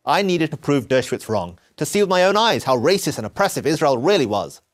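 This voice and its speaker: A man from England, giving an earnest, measured scripted narration of his own story. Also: fairly high pitch, sing-song. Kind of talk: scripted first-person narration.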